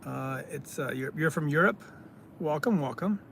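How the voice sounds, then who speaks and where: A man from California, hosting a casual live stream, reading out viewer comments and answering them in a halting way with many fillers. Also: on the high side, gravelly, fairly bright tone, a little hiss or hum. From California, United States.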